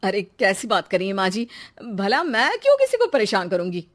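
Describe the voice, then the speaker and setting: A woman plays a middle-aged mother-in-law in an animated family tale. Her mid-pitched voice can order juniors about or plead meekly with elders. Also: normal speed. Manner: flips between pleading and ordering. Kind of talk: animated moral story acting.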